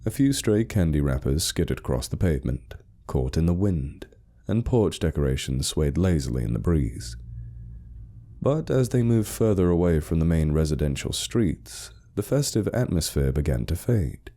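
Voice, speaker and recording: A man narrating a scripted horror story like a dramatic audiobook, in a measured, deliberate and ominous way. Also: very deep voice, very animated, warm tone.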